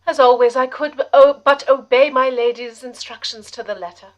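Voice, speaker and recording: A woman playing an eager, devoted assistant in a period radio mystery, speaking in an earnest, proper and excitable way. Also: sits high, moderate pace, close to an everyday voice. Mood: earnest storytelling. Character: eager, devoted young female assistant telling her own tale. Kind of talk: dramatic first-person narration and period radio acting.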